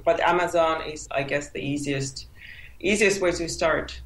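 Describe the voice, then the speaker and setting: A woman's voice: low-pitched, a little slow, roomy sound, a little hiss or hum, low-quality recording. A woman whose first language is Finnish, answering interview questions as an author, often restarting and repeating words as she searches for them. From Finland. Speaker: author guest.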